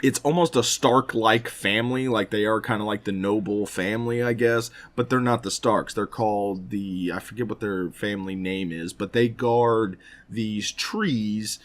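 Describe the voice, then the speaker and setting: A man's voice: on the low side, fairly even intonation, normal speed, few pauses. A man chatting casually as a podcast co-host, often hedging and circling back as he talks. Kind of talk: casual chat about games.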